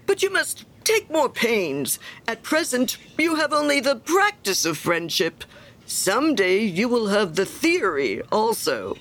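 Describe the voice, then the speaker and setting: Lady reader doing a smug pompous miller guy from a storybook, preachy, leans hard on his words, kinda raised pitch. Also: very animated, a little slow, fairly bright tone, full character voice. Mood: cheery, self-important. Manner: emphatic, sanctimonious lecturing. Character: pompous, self-satisfied, preachy male miller. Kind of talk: storybook character reading.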